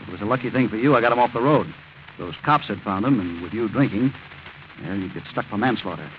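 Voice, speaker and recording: A smug, smooth-talking young schemer played by a man, insolent and condescending, swings his pitch in lively radio-drama style. It sounds like a tinny, slightly noisy 1940s broadcast. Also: fast talker, close to an everyday voice. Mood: brisk, controlling. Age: young adult. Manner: glib, casually menacing, condescending.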